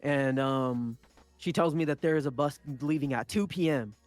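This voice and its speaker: A man's voice: mid-range voice, normal speed, no echo, faint music behind. A young man, Punjabi-speaking by birth, telling a story in a casual podcast chat, with frequent restarts and swearing. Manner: rambling, many restarts and swearing. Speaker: podcast co-host.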